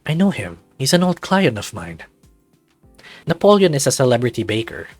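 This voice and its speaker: A man's voice: mid-range voice, sing-song, moderate pace, soft background music. A man voicing a proud, self-assured dragon lawyer in a visual novel game, in a composed manner. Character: suave, proud male dragon lawyer in a suit.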